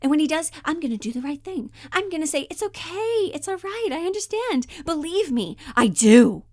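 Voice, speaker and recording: A woman's voice: high-pitched, very animated, moderate pace, a little mellow, no echo, clean studio sound, pitched above the performer's own voice. A woman playing a scorned, vengeful jilted lover in an audition monologue, swinging between forgiveness and threats. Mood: forgiving, emotional. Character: scorned, vengeful woman left by her man. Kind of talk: dramatic comedic audition monologue.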